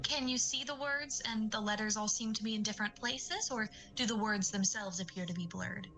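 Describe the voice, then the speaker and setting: A woman playing a polite, measured eye doctor in an audio drama, professional and tactful. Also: medium pitch, sharp tone, soft background music, rough recording. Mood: measured, clinical.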